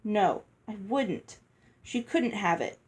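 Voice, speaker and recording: A woman's voice: medium pitch, lively intonation, takes it slowly. A woman voicing an unhappy, guarded storybook girl heroine, plain and reluctant in manner. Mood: defiant.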